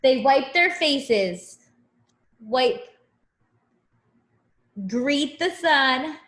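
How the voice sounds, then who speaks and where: High-pitched, animated woman reading to children. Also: drawn-out words, roomy sound. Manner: expressive, simple phrasing for small children. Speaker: teacher reading a picture book to young students. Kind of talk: children's read-aloud with asides to the listeners.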